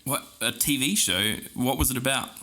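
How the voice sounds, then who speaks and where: A man's voice: medium pitch, normal speed, bright, forceful tone, lightly acted. A man playing a curious, persistent podcast interviewer with a casual, conversational way of asking questions. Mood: intrigued. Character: eager, curious male podcast interviewer pressing for details.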